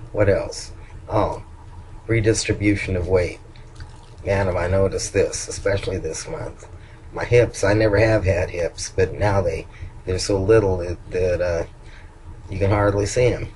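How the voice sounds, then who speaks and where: Older man with a very low voice. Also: steady tone, darkish tone, slight background noise, rough recording. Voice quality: low voice, nasal at times. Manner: halting, with frequent ums and restarts. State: hoarse. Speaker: vlogger. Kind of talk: casual personal video update.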